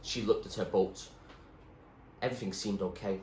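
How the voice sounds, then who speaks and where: A man giving an expressive narration of a storybook, like a teacher reading aloud. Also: on the low side, moderate pace. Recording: echoey room, faint background noise.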